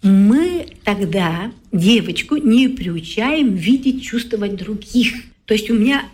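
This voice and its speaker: A woman in her forties, a guest expert, explaining her views in a measured, lecturing way with frequent pauses and "right?" tags. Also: mid-range voice, animated, a little mellow, husky. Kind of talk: explanatory talk-show discussion.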